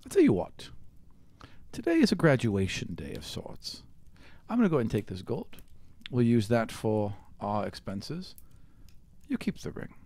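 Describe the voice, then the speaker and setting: A man voicing a stern, shrewd mother who trains her son as an assassin, speaking in a measured, grave way in a tabletop game. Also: mid-range voice, wildly swooping pitch, vocal fry, darkish tone, no echo, heavily acted. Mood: approving.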